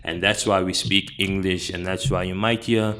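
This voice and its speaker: A young man from South Africa with a South African accent, chatting casually as an interview guest, relaxed and full of fillers. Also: sits low, moderate pace. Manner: relaxed, many fillers and restarts.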